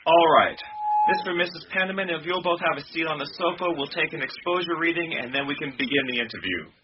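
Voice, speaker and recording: An actor playing a polite, businesslike film director who gives orderly instructions in a radio drama. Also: male voice, a little high, fast, close to an everyday voice. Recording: dull lo-fi radio drama, faint noise.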